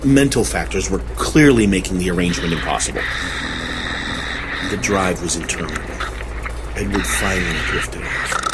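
An actor playing an earnest, troubled husband, recounting strange events emphatically in a horror audio drama. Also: male voice, low-pitched, moderate pace, pauses often, over loud music, lightly acted. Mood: somber.

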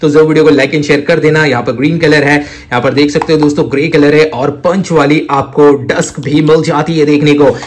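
High-pitched excitable man presenting toys. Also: a little fast, few pauses, slight background noise. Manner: excitable, repetitive exclamations of amazement. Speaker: toy review presenter. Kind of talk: enthusiastic hands-on toy showcase, addressing viewers as friends.

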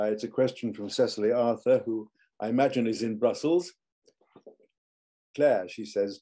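An older man chairing a video panel, reading out audience questions in a measured, polite way. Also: fairly low pitch, mellow. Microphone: video call.

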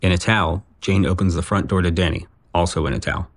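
Male narrator, very low register, deadpan reading of scene directions. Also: fast talker, background noise. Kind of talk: scripted narration of stage directions.

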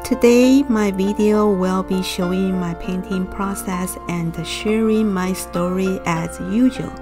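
A young woman from Taiwan, gently narrating a calm voice-over for her watercolor painting tutorial. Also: medium pitch, over music. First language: Mandarin. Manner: gentle, unhurried explaining. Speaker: watercolor art channel host.

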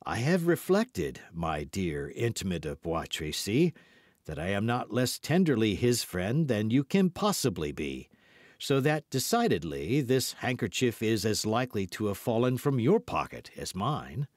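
A man voicing a haughty young musketeer in an audiobook, measured and coldly polite, with a mild but biting manner. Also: fairly low pitch, big pitch swings, no room sound, studio quality. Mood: cold, cutting. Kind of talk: audiobook character dialogue.

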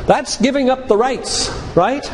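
A man's voice: very high-pitched, a little slow. An older man giving an emphatic, preacherly church lecture, putting rhetorical questions to his listeners. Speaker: physician giving a faith-based lecture. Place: church, speaking to a congregation. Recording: echoey church hall with background noise.

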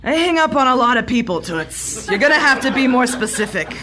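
A brassy 1940s secretary in a comic radio play. She wisecracks brusquely, with a lively, snippy swing to her lines. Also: high-pitched, brisk, a crowd in the background. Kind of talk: comedic audio drama acting.

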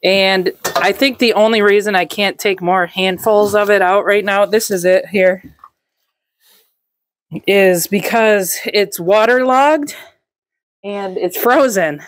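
A young woman talks slowly through a walkthrough of a gutted camper she is fixing up. She is relaxed and chatty, with plenty of ums. Her voice sits at a middle pitch, and it is caught on a handheld camera inside the trailer. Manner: relaxed, chatty, with frequent ums and light laughs. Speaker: vlog host. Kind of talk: casual walkthrough narration.

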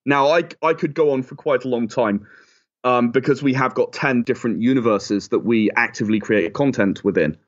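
A man's voice: medium pitch, moderate pace. A man giving chatty, enthusiastic, emphatic answers as a guest in a conversational interview. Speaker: interview guest, game creator. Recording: dull, lo-fi audio.

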